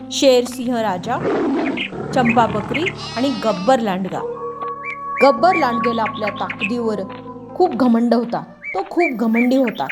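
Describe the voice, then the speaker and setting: High-pitched woman narrating a story. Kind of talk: animated children's moral story narration.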